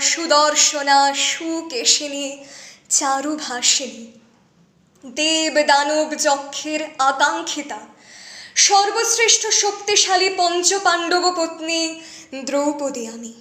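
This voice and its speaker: Woman doing a poem as proud wronged epic queen, super high voice, anguished n accusing, formal dramatic delivery, echoey recording. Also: fairly even intonation, a bit bright. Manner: theatrical, formal diction with weighted pauses. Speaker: poetry reciter. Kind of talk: dramatic Bengali poem recitation, monologue.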